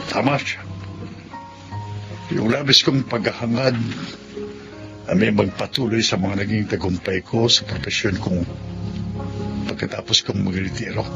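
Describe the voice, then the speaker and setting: A man's voice: lowish voice, fairly even intonation, a little slow, creaky, pauses often. An actor playing a proud, self-centred middle-aged father in a Tagalog radio drama. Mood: remorseful. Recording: muffled lo-fi audio under loud music.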